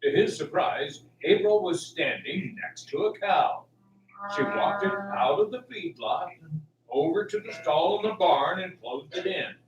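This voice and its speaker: Male radio play narrator, high for a man, suspenseful, unhurried, over music. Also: muffled recording. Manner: animated, suspenseful delivery. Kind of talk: dramatic scripted storytelling for radio drama. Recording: roomy, distant mic, music behind.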